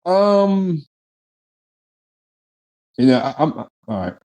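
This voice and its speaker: A man's voice: a little high, sing-song, drawn-out words, darkish tone. A man hosting a podcast interview, enthusiastic in a casual way, often stammering and repeating his words. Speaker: podcast host.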